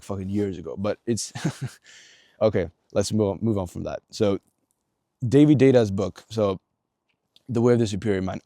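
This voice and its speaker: A man's voice: on the low side, dark tone, dry recording, clean studio sound. A young man giving a casual motivational talk straight to the viewer, blunt and earnest, swearing often and filling gaps with "like" and restarts. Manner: blunt, heavy swearing, many fillers and restarts; earnest, coaching tone aimed at viewer. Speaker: self-improvement vlogger.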